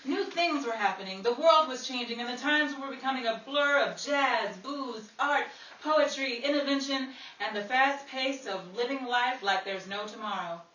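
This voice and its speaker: A woman acts out a sassy newswoman from a 1930s radio show. Her voice is high and bright, with theatrical delivery, heard from a distance in a roomy, slightly hissy recording. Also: normal speed. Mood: grand, narrating. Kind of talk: 1930s radio comedy acting and scripted narration. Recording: roomy, distant mic with background hiss.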